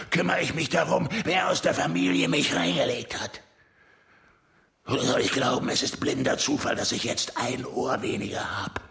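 Nasty mob boss in a radio play, gravelly, contemptuous, voice swinging all over. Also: male voice, mid-range voice, fairly bright tone. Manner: sneering, barking, explosive. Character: menacing wounded male gangster boss, bullying and contemptuous. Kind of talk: radio drama acting.

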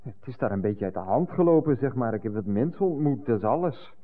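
An actor playing an irritable, evasive and jealous Dutch lover with a Dutch accent, blustering self-importantly in a radio play. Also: male voice, mid-range voice, animated, fast talker, mellow, in a large hall, natural voice. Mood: defensive, pompous.

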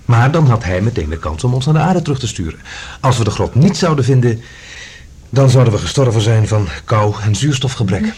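A man acting a suspicious expedition member who reasons deliberately in a science-fiction radio drama. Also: mid-range voice, animated, a little mellow, slightly rough. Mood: suspicious, reasoning.

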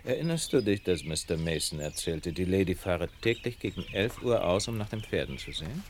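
A man in a radio play acting a shrewd, self-assured detective who lectures in a measured, wry, teasingly superior way. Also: deep voice, very animated, slight background noise. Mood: wry, scheming.

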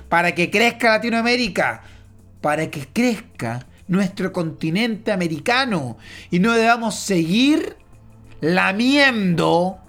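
A man's voice: high voice, gravelly, fairly bright tone. A Chilean man with a Chilean accent giving a pompous, boastful comic political rant, swearing freely. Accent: Chilean Spanish. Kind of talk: comic political character monologue.

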